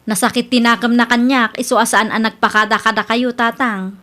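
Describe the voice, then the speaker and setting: Young single mother, female actor, fairly high voice, polite yet firm, radio drama. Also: little pitch movement, a little fast, sharp tone. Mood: apologetic. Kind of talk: Ilocano radio drama acting.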